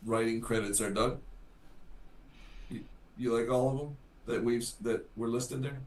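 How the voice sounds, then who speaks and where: A man co-hosting a live podcast, talking in a measured way with some hesitant fillers. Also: mid-range voice, fairly even intonation, slight background noise. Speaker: podcast co-host. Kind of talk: casual chat. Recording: echoey room.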